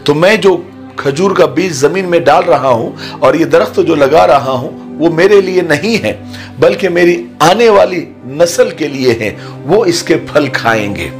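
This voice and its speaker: A hoarse-voiced man voicing a calm, wise elderly villager in a spoken tale, explaining patiently. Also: on the high side, unhurried, faint music behind.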